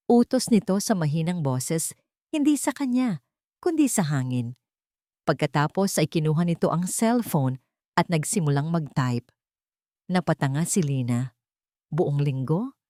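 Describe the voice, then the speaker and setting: A woman narrates an audio drama in a fairly low voice, close and dry. Her delivery is solemn and emotive, with deliberate pauses for dramatic weight. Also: a little mellow, no room sound. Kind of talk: dramatic scripted storytelling narration.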